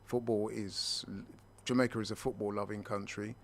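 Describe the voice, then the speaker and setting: Low-voiced man making an emphatic sports point. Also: flat delivery. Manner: measured and emphatic, repeats for stress. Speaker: sports pundit co-host. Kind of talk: casual sports chat.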